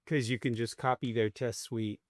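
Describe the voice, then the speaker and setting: A man's voice: mid-range voice, steady tone, moderate pace, no room sound, studio quality. A man hosting a casual technical conversation, speaking in short, pithy statements. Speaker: host.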